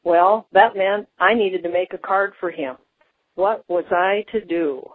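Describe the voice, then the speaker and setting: A woman's voice: medium pitch, measured pace. A woman from Washington state calling in to tell a story, posing rhetorical questions along the way. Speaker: caller. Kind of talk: phone-in message. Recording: dry phone line.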